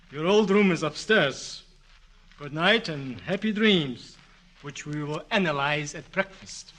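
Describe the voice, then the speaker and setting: An actor playing a kindly, crotchety old European professor of psychoanalysis in a classic radio drama, fussy and avuncular. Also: male voice, on the high side, slow, fairly bright tone. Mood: jovial, generous. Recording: noisy, clicky old radio broadcast.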